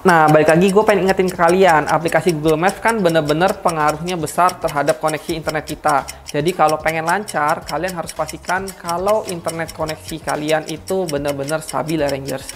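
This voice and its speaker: A woman's voice: low voice, steady tone, a little fast, a little hiss or hum. A woman giving a chatty, slangy product review, talking straight to her viewers. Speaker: car audio reviewer.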